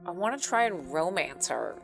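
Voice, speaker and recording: A woman's voice: on the low side, very animated, moderate pace, gravelly. A young woman streaming a horror game, talking casually and swearing as she plays. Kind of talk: live game commentary. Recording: game music behind.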